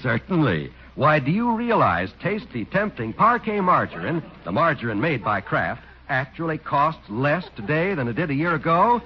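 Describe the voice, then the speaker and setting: A man's voice: fairly high pitch, big pitch swings, normal speed, few pauses, slight background noise. A man announcing and reading an emphatic, hard-sell commercial on a 1940s radio show. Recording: dull old radio broadcast.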